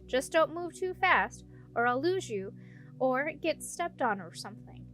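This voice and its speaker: Woman voicing a high-pitched, curious young princess. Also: lively intonation, a little slow, fairly bright tone, soft background music. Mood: wary. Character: young princess, curious, headstrong, practical, a little wary.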